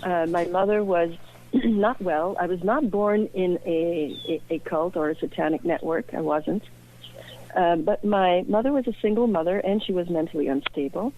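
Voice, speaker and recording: A woman's voice: lowish voice, moderate pace. A woman from Belgium, a guest and author, talking earnestly and reflectively in an interview. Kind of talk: personal interview. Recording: tinny phone-like line.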